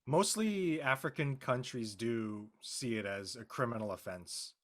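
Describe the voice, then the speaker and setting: A man's voice: medium pitch, a little slow. A man speaking casually as a panelist in a group discussion.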